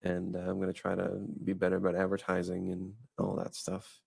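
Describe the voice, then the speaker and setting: A man's voice: low voice, monotone, fairly quick, creaky, darkish tone, slightly rough. A man in his thirties co-hosting a faith podcast, chatting casually and rambling with many restarts and fillers. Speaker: podcast host. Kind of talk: casual chat.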